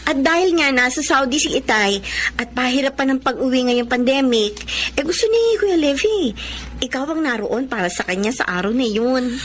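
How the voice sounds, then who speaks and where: A woman's voice: sits high, animated, normal speed, fairly bright tone, slight background noise. A woman playing an eager young sister. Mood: earnest. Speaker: actress. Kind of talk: Filipino radio-style drama acting.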